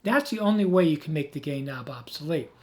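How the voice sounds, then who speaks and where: A man who has lost his voice, hoarse, giving an emphatic, rambling off-the-cuff tech explanation as a video host. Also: fairly high pitch, lively intonation, brisk. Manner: emphatic, rambling, with frequent restarts.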